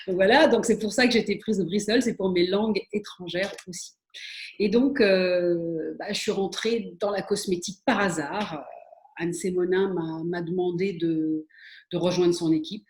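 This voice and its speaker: A woman's voice: on the low side, steady tone, normal speed, a little mellow, a little hiss or hum. A middle-aged woman answering interview questions about her career, speaking with frequent hesitations, fillers and restarts. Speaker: interview guest, spa and wellness expert. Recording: echoey room.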